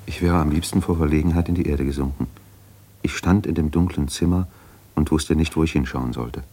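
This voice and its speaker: A man's voice: rumbling low, a little fast, dark tone, breathy edge. An actor playing a brooding, sensitive man recalling his youth in an introspective, intense radio drama monologue. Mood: embarrassed, fascinated.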